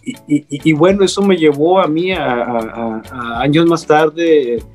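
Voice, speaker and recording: A man, speaking as a radio guest in a halting, reflective, conversational way and often repeating words. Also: fairly high pitch, a little mellow, soft background music. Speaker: radio guest, programmer and director. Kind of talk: conversational panel talk, reminiscing.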